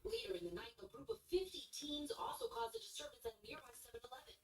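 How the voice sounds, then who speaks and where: A woman reading a scripted news report in a formal, measured way. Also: high-pitched, huge pitch swings. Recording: echoey news broadcast audio.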